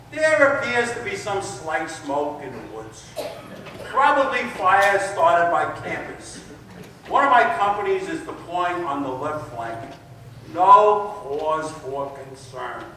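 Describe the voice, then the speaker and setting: A confident, dismissive army captain acted by a man reports in an old radio play, slow and emphatic. The forceful voice swings up and down, heard from far off in a very echoey hall. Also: high voice, a little hiss or hum, heavily acted.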